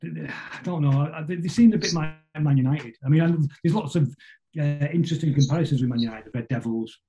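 A middle-aged man with a Manchester accent, chatting casually as an interview guest in a rambling, halting way full of tag questions. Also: on the high side, dark tone, low-quality recording. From Manchester, England. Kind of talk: casual conversational football chat.

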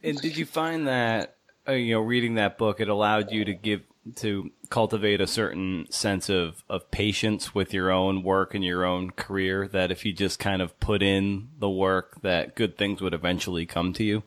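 A man's voice: deep voice, steady tone, moderate pace, a bit bright. A man hosting a podcast interview, asking questions in a conversational style.

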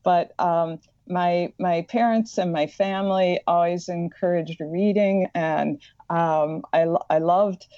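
A woman's voice: on the low side, fairly even intonation, slow pace, dark tone, no room sound, muffled recording. A woman with a slight Midwestern accent, an author and librarian, talking earnestly as a podcast guest and often repeating words as she thinks. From Madison, Wisconsin.

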